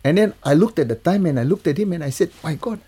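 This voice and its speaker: A man's voice: fairly high pitch, lively intonation, fast, mellow, husky. An older man, chatting casually as an interview guest and telling stories about his working life. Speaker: guest, TV music composer and mixer.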